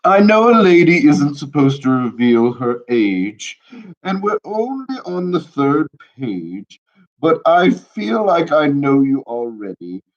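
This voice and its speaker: Brief: man doing a high, grand, spoiled little lady dog from a picture book, stuffy-nosed, lo-fi audio. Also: big pitch swings. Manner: haughty, grand, drawn-out. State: stuffy nose. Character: posh spoiled five-year-old female dog. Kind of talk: children's book read-aloud. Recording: dull lo-fi recording.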